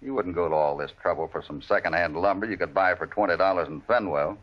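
A cowboy hero from a vintage radio Western. He speaks in a fairly low voice with dry, steady authority. The recording is dull and dated. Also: fast. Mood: dry, calm. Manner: calm, dry, understated. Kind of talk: old-time radio Western acting.

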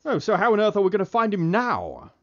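A man playing a suave, decisive British gentleman detective in a parody of old radio drama. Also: high-pitched, wildly swooping pitch, fast talker. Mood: hearty. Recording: muffled vintage radio, music behind.

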